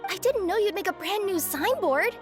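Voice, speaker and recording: A perky, earnest young girl from a video game. The voice is extremely high and bubbly, swinging up and down. Music sits behind it. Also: lively intonation, moderate pace. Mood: delighted. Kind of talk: scripted video game voice acting. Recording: background music under the dialogue.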